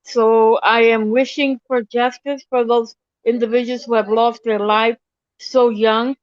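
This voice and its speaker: A woman speaks eagerly in a slightly high voice. The call sound is dry and a bit dull. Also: flat delivery, measured pace, dry recording. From Florida, USA. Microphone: video call.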